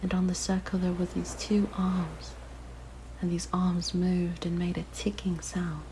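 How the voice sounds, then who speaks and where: A woman voicing a possessive, curious siren monster in an ASMR roleplay, speaking in a soft, breathy whisper with a gentle, coaxing manner. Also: on the low side, level tone, unhurried, slight background noise, low-quality recording. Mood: fascinated. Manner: gentle, wistful, fascinated, coaxing. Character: possessive, curious young female siren monster, softly luring. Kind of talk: ASMR roleplay, intimate monologue.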